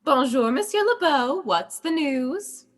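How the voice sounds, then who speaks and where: A woman's voice: sits high, expressive, measured pace. A woman playing a young, loyal, witty princess in a Shakespearean radio play. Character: young princess, loyal, bright-witted cousin.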